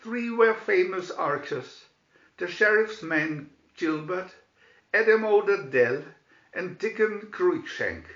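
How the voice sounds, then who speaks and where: A man narrating a storybook in a dramatic, theatrical and deliberate way. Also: high voice, animated, fairly bright tone, reverberant, highs cut off.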